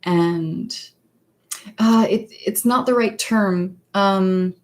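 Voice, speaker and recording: A woman whose first language is Danish, chatting casually on a livestream as a psychologist and author. She pauses often and searches for words. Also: medium pitch. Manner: hesitant, many fillers and restarts, searches for words. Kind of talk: casual livestream chat answering viewer questions.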